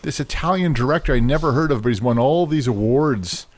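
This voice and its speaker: A man with a mid-range voice that swings widely as he talks. He hosts a casual chat, rambling through stories in an animated way and laughing easily. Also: mid-range voice, fairly quick.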